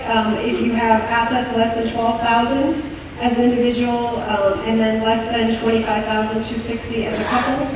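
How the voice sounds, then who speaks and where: A woman explaining benefit rules at a town hall meeting, speaking hesitantly with many ums and restarts. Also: fairly high pitch. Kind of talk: informal public talk with many fillers. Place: large echoey meeting hall. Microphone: room mic heard from a distance. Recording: echoey hall recording from far off with background noise.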